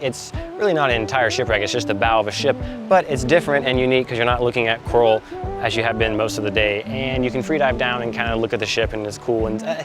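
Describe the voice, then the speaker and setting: A man narrating a travel vlog in an upbeat, joking, chatty way, outdoors on an island tour. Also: medium pitch. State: congested from a head cold. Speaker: travel vlogger.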